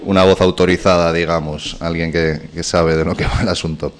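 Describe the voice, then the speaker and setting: A man's voice: low-pitched, flat delivery, brisk. A man co-hosting a radio show, introducing and interviewing a guest by phone, hesitant and polite. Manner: hesitant, polite, searching for words.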